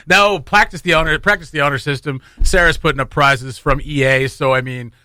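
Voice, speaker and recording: A man's voice: fairly high pitch, normal speed, sharp tone, no room sound, studio quality. A man hosting a morning radio show, chatting casually and jokingly, rambling loosely between community announcements.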